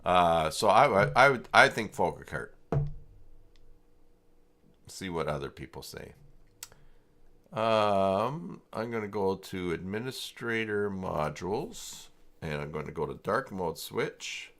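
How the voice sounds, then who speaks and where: A man hosting a casual, unscripted livestream tutorial, talking haltingly as he thinks aloud through each step. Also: deep voice, animated, a bit bright. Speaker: livestream host and web tutorial presenter.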